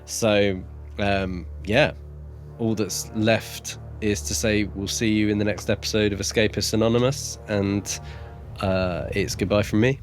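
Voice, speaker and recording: A man co-hosting a podcast, talking casually about books in a measured, slightly hesitant way. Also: on the low side, fairly even intonation, soft background music. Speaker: podcast co-host. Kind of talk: casual book discussion.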